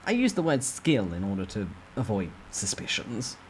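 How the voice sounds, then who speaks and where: A man's voice: on the low side, very animated, moderate pace, rough recording. Man narrating a novel over background noise. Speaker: storyteller reading a web novel aloud. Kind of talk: dramatic first-person novel narration.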